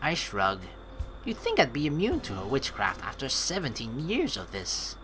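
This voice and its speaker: A man plays through a story game, reading aloud and commenting as he goes. His voice is bright and very expressive in pitch, with an informal, rambling delivery over game music. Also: normal speed. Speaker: let's player.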